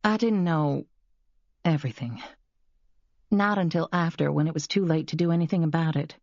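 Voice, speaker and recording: A man voicing a calm, level-headed woman in an audiobook, speaking quietly. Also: on the high side, moderate pace, no room sound, muffled recording, pitched above the performer's own voice, close to an everyday voice.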